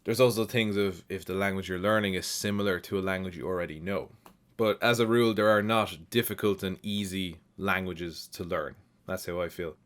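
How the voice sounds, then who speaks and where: An Irish man with a lowish voice hosts a casual comment-response video. He talks in a reflective, explanatory manner, reading a remark and then giving his own answer. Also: fairly even intonation, a little fast, fairly bright tone. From Ireland. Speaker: language channel host.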